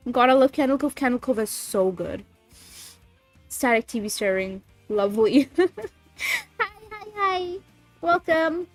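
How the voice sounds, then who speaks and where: Bubbly high-voiced woman streamer with a cold. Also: no echo. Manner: bubbly, swears, reads chat aloud. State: sniffly with a cold. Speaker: streamer reading creepypasta. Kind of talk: casual livestream chat and reading aloud. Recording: faint music behind.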